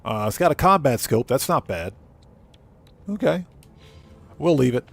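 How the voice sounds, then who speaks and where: Animated man casually commentating a game. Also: medium pitch, unhurried, gravelly, breathy edge. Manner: muttering to himself while playing. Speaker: game livestreamer.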